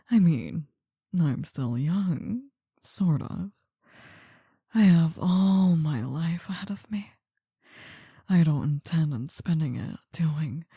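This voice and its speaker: A woman's voice: low-pitched, no room sound, clean studio sound, exaggerated voice. A woman playing a possessive, world-weary older mafia boss in an intimate whispered ASMR roleplay, tender and confiding. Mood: weary, confessional. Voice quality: breathy, soft whisper.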